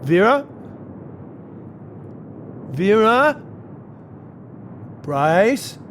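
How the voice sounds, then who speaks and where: A man's voice: sits high, big pitch swings, drawn-out words, full character voice. An actor playing an uneasy, determined man investigating a house in an audio play, talking urgently and colloquially over faint noise. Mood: anxious, urgent.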